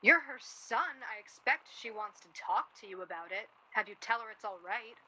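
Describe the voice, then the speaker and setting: Actress playing a blunt, bright-voiced guarded young woman. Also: on the high side, expressive, normal speed, husky, full character voice, rough recording. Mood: serious, pressing. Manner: blunt, argumentative. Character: guarded, bitter, blunt young woman, protective of a close friend. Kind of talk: radio play dialogue, naturalistic period drama.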